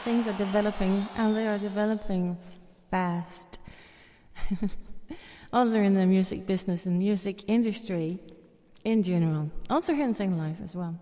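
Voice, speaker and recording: A woman's voice: mid-range voice, normal speed, pauses often, mellow, in a large hall. A woman from Denmark with a Danish accent, chatting casually about her experiences, with frequent fillers and light laughs. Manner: chatty, laughs often, many fillers and restarts. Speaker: guest performer telling her story. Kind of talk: casual storytelling.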